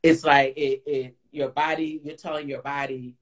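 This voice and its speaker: A woman with a Guyanese accent hosting a live Q&A chat as a chef, animated and coaching, talking over a video call. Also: moderate pace. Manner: animated, coaching, jumps into high playful voices. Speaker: host, plant-based chef.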